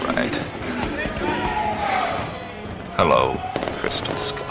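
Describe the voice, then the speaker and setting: An actor playing a bewildered, wisecracking adventurer in a radio play, with halting, wry exclamations. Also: male voice, lightly acted. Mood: reluctant, wry. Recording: studio radio play with loud music. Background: loud music and effects.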